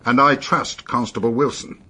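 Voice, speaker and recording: An actor playing a brisk, businesslike police detective inspector with a British accent in a radio drama, matter-of-fact and authoritative. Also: male voice, medium pitch, steady tone, pushed and bright, husky.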